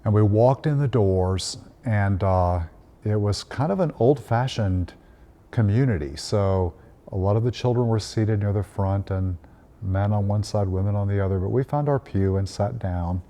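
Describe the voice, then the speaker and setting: A priest in his fifties from Tennessee, telling Christmas memories in a gentle, unhurried way with frequent "uh" fillers. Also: on the low side. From Tennessee, United States. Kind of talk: reflective Christmas storytelling message.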